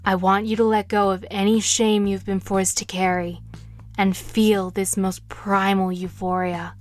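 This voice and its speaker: An actress playing a confident, tender witch who mentors with soothing, measured words in an audio drama. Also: mid-range voice, flat delivery, natural voice. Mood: seductive, impassioned. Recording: music playing behind. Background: music.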